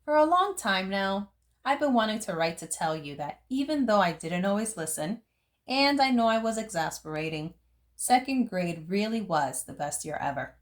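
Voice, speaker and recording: A woman reading a picture book aloud as a storyteller, phrasing it deliberately for young listeners. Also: medium pitch, sing-song. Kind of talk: expressive picture book narration.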